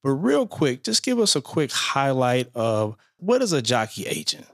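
Upbeat podcast host, dry close sound. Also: male voice, mid-range voice, sing-song, normal speed, fairly bright tone, dry recording. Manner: upbeat, says "man" and "you know" a lot. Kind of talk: casual interview.

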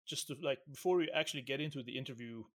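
A man's voice: mid-range voice, very fast, a bit bright. A man from Syria co-hosting a casual podcast, rambling with many restarts and laughing easily. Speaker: podcast co-host.

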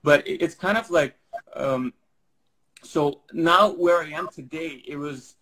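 A man's voice: a little high, takes it slowly, pushed and bright, low-quality recording. Rambling Syrian-raised man. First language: Armenian. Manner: rambling, many fillers and restarts. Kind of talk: casual interview answers.

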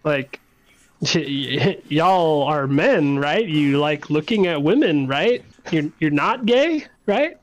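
A man's voice: a little high, lively intonation, slow pace, muffled recording. A man chatting casually with friends in a slangy, profane, joking way.

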